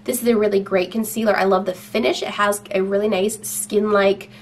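A woman's voice: medium pitch, fairly even intonation, brisk, slight background noise. A young woman with a slight cold, chatting casually to camera at home as she reviews her makeup products. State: has a cold. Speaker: beauty vlogger. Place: home room with shelves.

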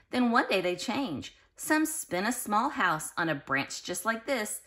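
A woman reading a children's picture book aloud in an expressive, sing-song way, speaking directly to young listeners. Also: lowish voice. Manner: pauses to explain and question listeners directly. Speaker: children's read-aloud host.

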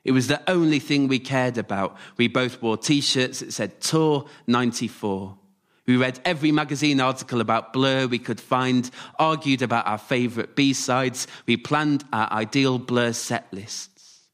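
A man performing a scripted autobiographical story live, delivered in measured short phrases. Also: medium pitch, a bit bright. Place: radio theatre stage, before a live audience.

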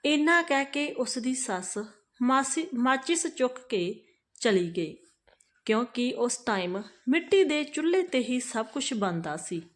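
A woman narrating a moral family story for her channel in a measured, earnest read-aloud manner. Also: fairly high pitch.

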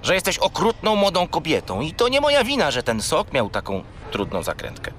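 Wildly animated cheeky furry creature. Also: male voice, on the high side, a little fast, a little hiss or hum. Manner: rambling, naively evasive, whiny. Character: naughty, chatty, cheeky little furry toy companion. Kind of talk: children's radio play acting.

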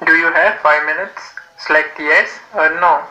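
A man reading scripted technical narration and device prompts in a measured, instructional way. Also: a little high, fairly even intonation, pushed and bright, highs cut off.

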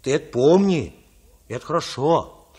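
A high-voiced young peasant, cheerful and knowing. His delivery swings widely in pitch, folksy and emphatic, as in a radio play. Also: expressive, normal speed, dark tone, slightly rough. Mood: lively, teasing. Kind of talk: Russian radio play acting.

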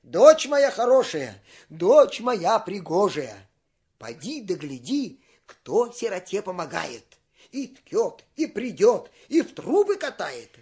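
Man playing a high-voiced, scheming old mistress. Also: sing-song, slow, a little mellow, husky, full character voice. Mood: coaxing. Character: scheming old peasant mistress coaxing her daughter.

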